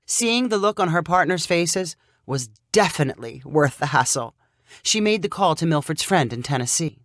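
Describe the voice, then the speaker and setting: A woman narrating a scripted short story in an expressive, clearly paced storyteller's manner. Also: low-pitched, moderate pace.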